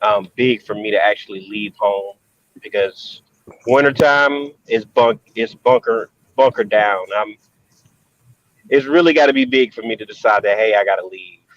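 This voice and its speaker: Man guest on a lo-fi dry call feed, halting and thinking aloud. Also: lowish voice, fairly bright tone, no echo. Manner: hesitant, many ums and restarts. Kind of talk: casual video call chat.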